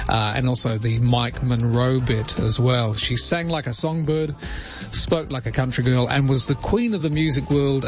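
Chatty radio host. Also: male voice, mid-range voice, lo-fi sound. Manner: chatty, self-mocking, laughs easily. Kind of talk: casual talkback radio chat.

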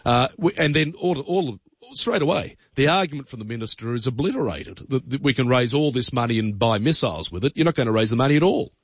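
A man's voice: mid-range voice, animated, fast, slightly rough, no room sound. A man hosting a talk radio show, blunt and chatty with sarcastic asides. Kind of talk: opinionated talk radio commentary. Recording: slightly dull dry studio sound.

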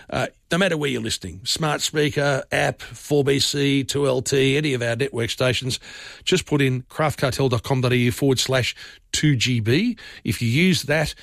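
Brief: Australian male radio host with a scratchy, hoarse voice, mid-pitched and rambling, recorded dry. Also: no room sound. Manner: rambling and chatty, with restarts. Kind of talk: casual radio talk.

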